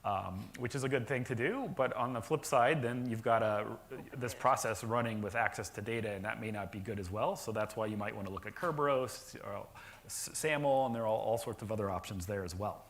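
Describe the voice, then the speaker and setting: A man giving a conference talk about software deployment to a live audience, explaining with frequent fillers and restarts and a naturally loud voice. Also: fairly low pitch, a little fast, slight background noise. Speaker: conference presenter. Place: conference session room.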